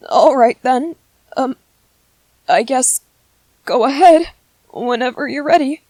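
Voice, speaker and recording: A woman voicing a gentle, clever young male soldier in an ASMR roleplay, speaking softly and politely with hesitant ums. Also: fairly high pitch, no echo. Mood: flustered.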